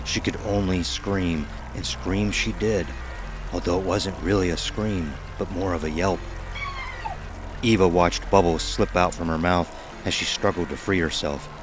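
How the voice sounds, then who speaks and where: A man's voice: deep voice, fairly even intonation, a little slow. A man giving scripted narration as the narrator of an audio horror drama. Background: music.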